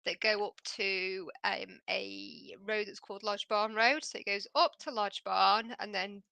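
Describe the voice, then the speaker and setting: A woman's voice: mid-range voice, fairly even intonation, unhurried, vocal fry, bright, forceful tone, no echo. An English woman co-hosting a casual podcast chat, upbeat and explaining. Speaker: podcast co-host.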